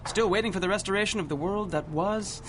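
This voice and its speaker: A haughty young male inventor in an old-style radio serial. He speaks grandly and suavely in a bright, slightly high voice over faint noise. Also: fast. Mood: assured. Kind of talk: 1930s-style radio drama acting.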